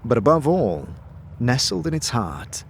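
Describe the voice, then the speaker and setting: A man narrating a fantasy audio series with theatrical, measured, suspenseful phrasing. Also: medium pitch, sing-song, creaky, slight background noise. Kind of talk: dramatic second-person fantasy narration. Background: loud music and sound effects.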